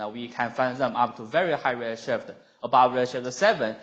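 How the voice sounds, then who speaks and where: A man who speaks English as a second language, giving a scientific conference talk in a halting manner with non-native phrasing. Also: fairly high pitch, a bit bright. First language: not English. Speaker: researcher presenting at a conference. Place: lecture room at a conference.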